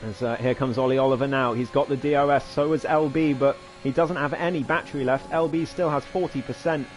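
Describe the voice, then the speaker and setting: A hoarse man giving live commentary on an online racing league, his voice climbing excitedly through each overtake. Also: medium pitch, a little fast, faint music behind. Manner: rising excitedly during overtakes, chatty asides. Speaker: race commentator.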